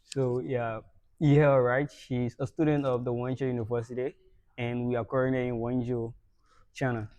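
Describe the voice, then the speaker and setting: A young man hosts a podcast in a relaxed, conversational way, often laughing and repeating words as he gets going. His voice is mellow and dark. The recording is dry and close. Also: mid-range voice, moderate pace, no room sound. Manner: warm, laughs often, repeats words when starting a sentence. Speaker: podcast host. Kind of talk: casual podcast interview.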